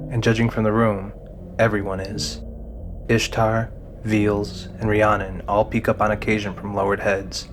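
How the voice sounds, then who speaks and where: A man narrating a scripted fantasy audio drama in the role of storyteller. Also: lowish voice. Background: music under the narration.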